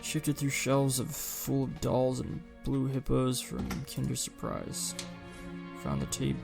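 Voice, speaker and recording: A man with a creaky, gravelly voice reading a visual novel aloud as a game streamer, with casual, sweary asides. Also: medium pitch, little pitch movement, slow pace. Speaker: gaming streamer doing a longplay. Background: game music.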